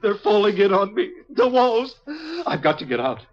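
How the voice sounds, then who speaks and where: A man playing a terrified, broken madman in a 1950s radio drama, pleading frantically and repetitively in a shrill, strained voice. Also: very animated, moderate pace. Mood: panicked. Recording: dull, lo-fi 1950s radio recording.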